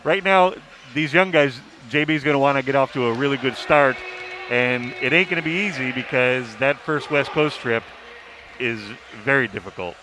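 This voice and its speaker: A man's voice: medium pitch, normal speed. A man discussing sports casually as a commentator, repeating phrases for emphasis. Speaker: sports beat writer and commentator. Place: sports arena. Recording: noisy arena ambience.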